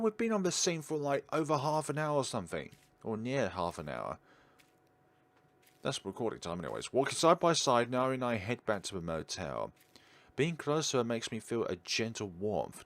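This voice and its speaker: Deep-voiced man doing game commentary. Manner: chatty asides between read-out narration. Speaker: gaming video host. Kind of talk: let's play commentary, reading visual novel text aloud.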